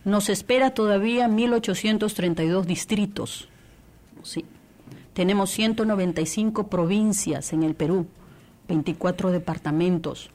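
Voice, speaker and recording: A woman's voice: mid-range voice, normal speed, a little mellow. A woman from Peru, a church radio coordinator, giving an earnest interview testimony with many restarts and fillers.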